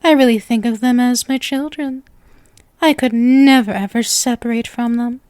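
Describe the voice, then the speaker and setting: A woman playing a sweet, obsessive witch in an ASMR roleplay, gentle, coy and doting with playful menace. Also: fairly high pitch, fairly even intonation, normal speed, dry recording, studio quality, close to an everyday voice. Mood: sweetly menacing. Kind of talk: ASMR roleplay, scripted acting.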